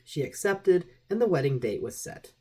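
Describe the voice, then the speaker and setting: A man's voice: a little high, very animated, moderate pace, darkish tone, breathy edge. A man reading a vintage magazine article aloud as a scripted audiobook narration. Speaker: audiobook reader.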